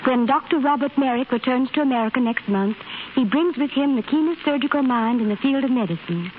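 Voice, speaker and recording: A gentle, soothing nurse. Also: female voice, sits high, lively intonation, brisk. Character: calm, cautious woman hospital nurse. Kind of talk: 1930s radio drama acting. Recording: tinny, hissy 1930s radio transcription.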